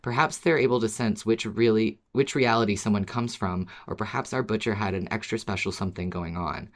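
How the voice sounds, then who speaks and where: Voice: mid-range voice, a little fast. A podcast co-host speaking in a conversational, agreeable way, as in casual chat or reading out listener mail.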